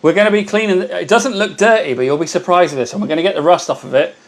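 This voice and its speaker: Hoarse man hosting a live stream. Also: high voice, fast talker. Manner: chatty, greets viewers by name. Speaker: livestream host. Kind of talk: casual live-stream chat.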